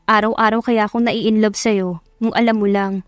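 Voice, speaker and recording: A woman's voice: mid-range voice, steady tone, normal speed, dry recording, studio quality, close to an everyday voice. A woman voicing an affectionate, playful young wife in love, in a romance audiobook. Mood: playful, sweet.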